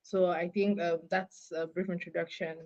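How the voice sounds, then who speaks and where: A young woman from Cameroon, a graduate student, explaining things clearly and steadily as an interview guest over a call. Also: lowish voice, little pitch movement, normal speed, a little mellow, lo-fi sound.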